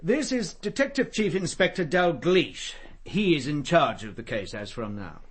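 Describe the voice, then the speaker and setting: An actor playing an overworked local police detective in a radio drama, with an informal, sardonic way of talking. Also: male voice, a little high, very animated, moderate pace, a little hiss or hum. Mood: formal.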